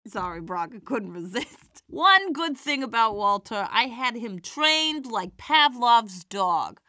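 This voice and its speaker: Woman acting a wisecracking granny comic, high bright voice, wry timing, dry close recording, audio drama. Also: big pitch swings, slow, no echo, exaggerated voice. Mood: wry, playful. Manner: wry, theatrical comic delivery. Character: feisty elderly granny stand-up comedienne, warm-hearted and wisecracking. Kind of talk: audio drama stand-up and dialogue.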